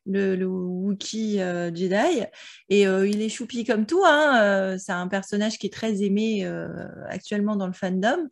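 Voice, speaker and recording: A woman's voice: medium pitch, unhurried. A woman in casual, explanatory conversation, talking as a translator about her work.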